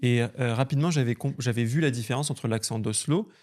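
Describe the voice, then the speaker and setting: A man hosting a podcast, asking questions in a friendly, relaxed conversational manner. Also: mid-range voice, brisk. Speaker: podcast host. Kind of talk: casual interview.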